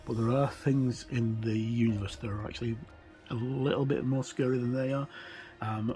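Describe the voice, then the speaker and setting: Mellow middle-aged man, casual rambling walkthrough. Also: fairly low pitch. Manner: rambling, informal explaining with digressions. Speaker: tabletop game reviewer. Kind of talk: casual book look-through commentary.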